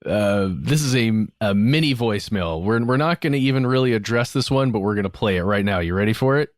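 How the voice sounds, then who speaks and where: A man's voice: fairly low pitch, lively intonation, brisk, no echo, clean studio sound. A man co-hosting a podcast in casual chat, who laughs and cackles often. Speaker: podcast co-host.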